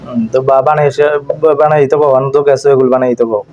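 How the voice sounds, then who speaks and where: A man's voice: medium pitch, fairly even intonation, rapid-fire, highs cut off, background noise. A man with an eastern Bengali dialect in casual domestic talk on a recorded call. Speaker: husband on a call with his wife. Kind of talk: casual domestic phone chat, arguing.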